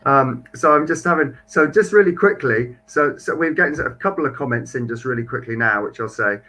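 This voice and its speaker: A man's voice: mid-range voice, expressive, a little fast, pushed and bright. A young man co-hosting a marine biology livestream in casual, chatty talk. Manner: chatty, reads viewer comments aloud.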